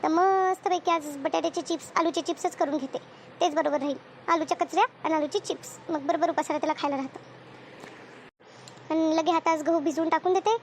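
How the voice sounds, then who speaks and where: Woman voicing high-pitched, bustling village housewife. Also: fairly even intonation, a little fast, a little hiss or hum. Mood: planning, musing. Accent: rural Maharashtrian. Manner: chatty, scolding, rambling rural homemaker talk. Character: bustling village housewife Shantabai, chatty, bossy, caring neighbour. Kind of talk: comedy cartoon dubbing.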